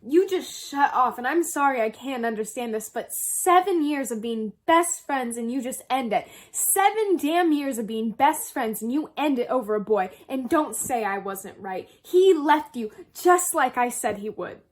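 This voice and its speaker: High-pitched hurt, angry teen played by a woman. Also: animated, measured pace, hardly any pauses. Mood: angry, grieving. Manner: raw, accusatory. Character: hurt, angry, grieving teenage best friend. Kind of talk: amateur stage drama monologue.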